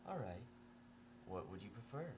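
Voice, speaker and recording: Man playing clinical male doctor, patient and formal, fairly low voice, audio story, faint background noise. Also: sing-song, normal speed.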